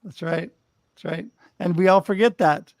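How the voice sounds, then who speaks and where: A man with a notably high voice hosts a talk show over a video call. He talks upbeat and encouraging, and the sound is dry and close. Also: moderate pace, creaky, darkish tone, no room sound. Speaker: show host. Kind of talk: casual interview hosting.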